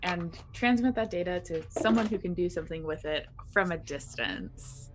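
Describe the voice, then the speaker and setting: A woman's voice: on the low side, animated, moderate pace, background noise. A woman playing a tabletop roleplaying game, talking conversationally as her character.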